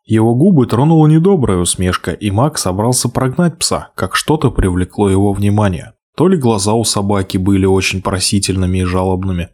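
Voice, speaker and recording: Expressive male storyteller, lowish voice. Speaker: narrator. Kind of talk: storybook reading.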